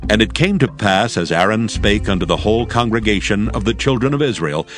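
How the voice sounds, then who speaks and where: A man narrating scripture for an audio drama in a solemn, measured way. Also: on the low side, animated, faint music behind.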